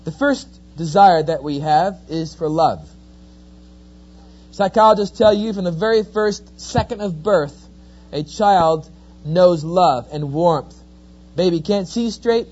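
A man's voice: high voice, slow, breathy edge. A middle-aged man with a New Jersey accent, giving a sermon-style talk full of folksy, joking stories. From New Jersey, USA. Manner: folksy, joking, lively storyteller. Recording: dull lo-fi recording.

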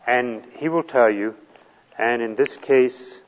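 A man's voice: mid-range voice, slow. Man lecturing on a dull old hall recording. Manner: plain, patient explaining, step by step. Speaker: retirement planning instructor. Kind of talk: classroom lecture.

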